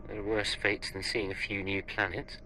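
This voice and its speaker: Casting: cocky spy, deep and very bright-edged, dry delivery, video game. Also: male voice, level tone, normal speed, a little hiss or hum, low-quality recording. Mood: cool, dry. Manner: dry, nonchalant, curt. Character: cocky, cold male secret agent, dryly insolent. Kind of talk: video game cutscene acting.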